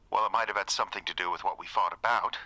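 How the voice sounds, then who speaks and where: A man's voice: fairly low pitch, pushed and bright, no echo, poor audio. A man playing an unstable, self-pitying ex-boyfriend in an audio drama, emotional and swinging between wheedling and accusing. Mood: pleading.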